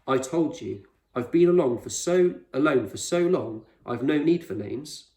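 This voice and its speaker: A man voicing an eccentric, proud male explorer in a storybook, speaking with measured authority and reassurance. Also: medium pitch, expressive, a little mellow, pitched above the performer's own voice, lightly acted. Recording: roomy echo, distant microphone.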